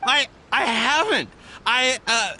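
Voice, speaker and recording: A man's voice: extremely high pitch, animated, takes it slowly, pushed and bright, full character voice. A man voicing a nervous, shifty merchant in a video game, flustered and prone to stammering. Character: nervous, shifty male merchant pleading innocence.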